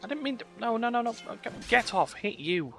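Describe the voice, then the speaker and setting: A man's voice: extremely high pitch, very animated, brisk, fairly bright tone. The man you'd find on a late stream, muttering tactics and blurting playful sound words over game music. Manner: playful exclamations and muttered asides. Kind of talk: live gameplay commentary. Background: video game music.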